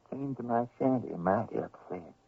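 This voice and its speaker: A man playing a kindly, apologetic old fisherman in a radio drama, with a rural American accent and a gravelly, halting, folksy delivery. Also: mid-range voice, animated. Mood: rueful, reminiscing. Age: older. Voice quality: gravelly, creaky. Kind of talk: 1970s radio drama acting. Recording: dull, noisy old radio recording.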